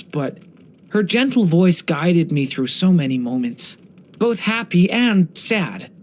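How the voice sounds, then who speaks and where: An expressive, gentle young dragon boy. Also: on the high side. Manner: polite, earnest, storytelling. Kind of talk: English video game character voice lines.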